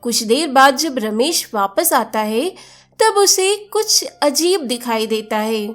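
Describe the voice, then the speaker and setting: A woman narrates a scripted moral tale in a fairly high voice. Her pace is slow, and faint music plays under the storytelling. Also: expressive, fairly bright tone.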